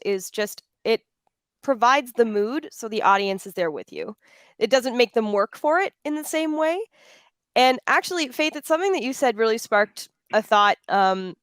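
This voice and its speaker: Woman, panel moderator. Smooth, crisp voice, medium pitch, dry sound, casual and emphatic delivery. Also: a bit bright, dry recording. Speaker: panel moderator and audio drama creator. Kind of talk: panel discussion, casual talk.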